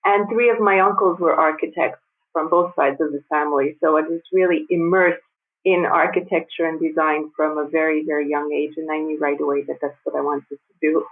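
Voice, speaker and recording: A woman's voice: low-pitched, steady tone. Woman on a tinny remote line. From United States. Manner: measured, thoughtful, laughs lightly. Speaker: guest designer and architect. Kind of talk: conversational interview.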